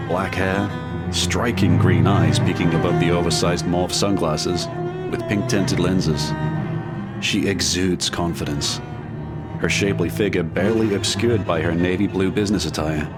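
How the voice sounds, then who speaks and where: A man narrating a scripted audio drama in a measured, dramatic manner. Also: sits low.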